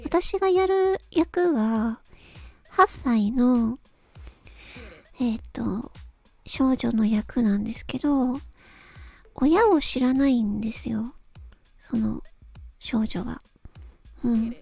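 A woman hosting a solo talk, speaking casually and reflectively to listeners in a polite, gentle, hesitant way as she thinks aloud. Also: on the high side, animated, takes it slowly, vocal fry, pauses often. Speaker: solo host, amateur voice actress. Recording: close dry recording with background music. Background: background music.

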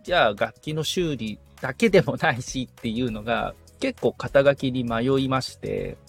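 Gravelly, husky man chatting casually. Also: medium pitch, normal speed. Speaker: podcast assistant. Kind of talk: casual conversational answers. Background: background music.

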